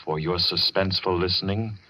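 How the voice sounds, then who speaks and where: A man's voice: sits low, fairly even intonation, brisk, a little hiss or hum. A man with an American accent announcing a radio thriller in a formal, portentous 1940s style. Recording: muffled old radio recording. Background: loud dramatic music.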